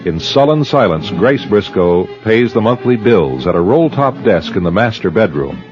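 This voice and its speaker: Deep solemn narrator over music. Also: male voice, big pitch swings, moderate pace, darkish tone. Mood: solemn, ominous. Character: solemn male narrator of eerie tales. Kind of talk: scripted radio drama narration. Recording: dull old radio, music behind.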